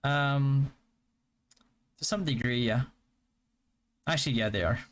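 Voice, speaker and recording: A young man from the Philippines whose first language is Hiligaynon, chatting casually on a live stream and answering viewer questions in a relaxed, stop-start way. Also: mid-range voice, slow. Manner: relaxed, stop-start, with frequent fillers and restarts. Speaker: game streamer.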